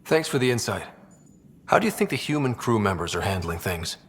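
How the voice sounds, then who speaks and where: An actor playing a confident young space explorer and crew leader in a video game, casual and a little cocky. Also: male voice, fairly low pitch, sing-song, a little fast, long reverb tail. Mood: casual concern. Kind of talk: video game dialogue acting.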